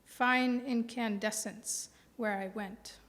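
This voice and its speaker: An American woman in her forties, a poet giving a live reading with deliberate phrasing and pauses. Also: fairly high pitch, fairly even intonation, fairly bright tone. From Milwaukee, American Midwest. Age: 40s. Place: indoor reading before a live audience. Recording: reverberant hall sound.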